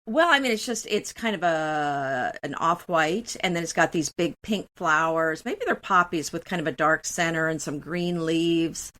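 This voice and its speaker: Friendly woman co-host, lowish voice. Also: moderate pace, hardly any pauses, a bit bright. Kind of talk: casual decor chat.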